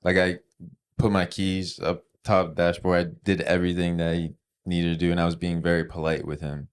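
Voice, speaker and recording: A young man co-hosting a podcast, talking softly and hesitantly with lots of fillers. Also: deep voice, level tone, normal speed, clean tone. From Argentinian and Ecuadorian heritage. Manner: soft-spoken, hesitant, many fillers. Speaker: podcast co-host. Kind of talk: casual chat.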